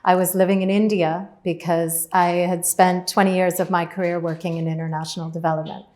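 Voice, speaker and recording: Even-toned, lowish woman. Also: a little fast. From Montreal, Canada. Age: middle-aged. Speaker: panellist. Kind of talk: self-introduction on a panel.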